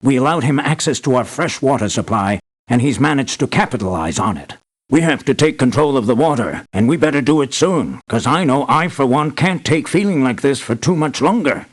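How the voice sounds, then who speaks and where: An actor playing an anxious older man, an island boss and devoted father, in an earnest, measured video game performance. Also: mid-range voice, expressive, lightly acted. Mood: concerned, serious. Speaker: employer briefing hired mercenaries. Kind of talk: scripted video game voice acting.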